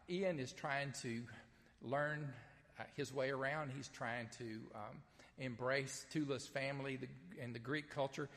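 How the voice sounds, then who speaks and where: A man's voice: medium pitch, a bit bright, in a large hall. A man with a Southern US accent preaching an Easter sermon and reading scripture, in a folksy, conversational way with frequent ums and uhs. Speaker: pastor. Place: church sanctuary, streamed live.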